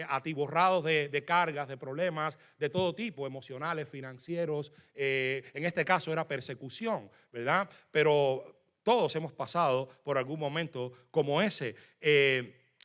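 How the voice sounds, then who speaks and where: A man with a Cuban accent preaching a sermon in a fervent, emphatic way, often pausing on fillers. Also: a little high, fairly bright tone, clear, resonant tone. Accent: Cuban Spanish. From Cuba. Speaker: guest preacher.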